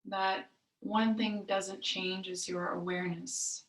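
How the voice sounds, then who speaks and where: A woman leading a guided meditation as a yoga nidra teacher, soothing and unhurried, with long pauses between phrases. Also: medium pitch, little pitch movement. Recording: roomy echo, distant mic.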